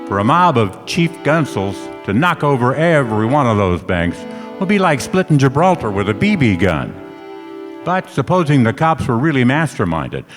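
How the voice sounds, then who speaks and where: A wry, tough private detective played by a man from a hard-boiled radio play. His clipped, slangy delivery moves with animated pitch. He sounds out across an echoing hall, with faint music behind. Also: close to an everyday voice. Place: stage in a hall.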